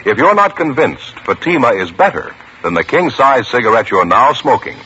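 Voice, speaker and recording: Bright, lively vintage radio pitchman. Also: normal speed, breathy edge. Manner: upbeat, persuasive sales pitch. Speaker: commercial announcer. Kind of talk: 1950s radio ad announcing. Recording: dull old radio broadcast with hiss.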